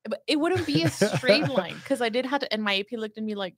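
A woman's voice: fairly high pitch, expressive, fast, a crowd in the background. A woman from Mexico, a pastry chef telling stories as a podcast guest, chatty and self-deprecating. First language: Spanish. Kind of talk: casual interview chat.

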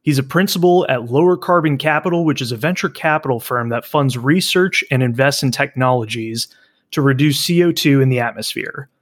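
Young male podcast host, mid-pitched, upbeat casual talker. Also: a little fast. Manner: upbeat, chatty, filler-heavy. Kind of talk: podcast intro and interview hosting.

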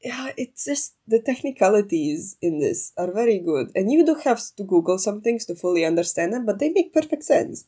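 A woman's voice: mid-range voice, lively intonation, brisk, hardly any pauses, warm tone, husky. A young adult woman co-hosting a podcast, chatting casually and off the cuff in a rambling, sweary, informal way. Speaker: podcast co-host. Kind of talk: casual unscripted chat.

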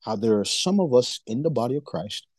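A man from Nigeria co-hosting a faith-based podcast, talking in an animated, preachy way and acting out the voices he quotes. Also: medium pitch, a little fast, dry recording, clean studio sound. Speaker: podcast co-host.

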